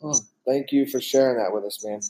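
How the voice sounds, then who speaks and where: A man's voice: medium pitch, fairly quick, dark tone, poor audio. Upbeat man hosting a podcast. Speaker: podcast host. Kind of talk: casual interview hosting.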